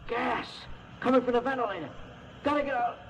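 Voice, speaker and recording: Nervy male night watchman, high-pitched and informal, 1970s audio drama on a noisy old record. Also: fairly quick, lo-fi sound. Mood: panicked. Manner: alarmed, informal. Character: jumpy male night security guard.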